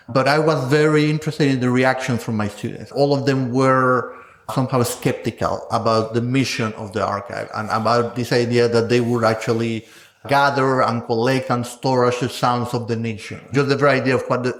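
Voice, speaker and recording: Thoughtful male scholar in an echoey room. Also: medium pitch. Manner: thoughtful, measured, tags statements with "no?". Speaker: guest scholar. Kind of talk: conversational interview.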